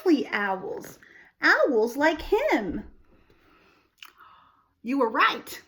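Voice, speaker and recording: Female storytime reader for young kids: unhurried pace, slightly high voice, expressive, explains and asks questions. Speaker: storytime host reading to children. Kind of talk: read-aloud picture-book storytelling with explanations for young children.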